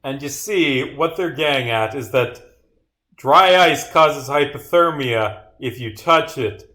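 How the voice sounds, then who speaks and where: A man's voice: mid-range voice, lively intonation, slow pace, bright, forceful tone. A man casually livestreaming his own game, reading dialogue aloud and muttering short asides to himself. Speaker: game developer streaming.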